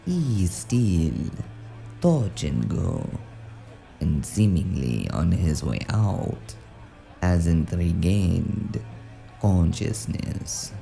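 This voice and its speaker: Male actor as suave underworld boss, low gravelly voice, formal, deliberate, quietly menacing, noir audio drama. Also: sing-song, faint music behind, exaggerated voice. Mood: measured, veiled threat. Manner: formal, deliberate, silkily threatening.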